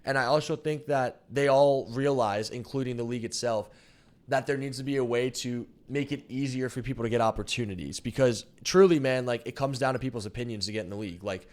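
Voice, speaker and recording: A man's voice: medium pitch, fairly quick. A young man streaming while he plays a game, chatting loosely and frankly with lots of "like" and some swearing. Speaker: streamer and pro team coach. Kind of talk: casual chat while gaming on stream.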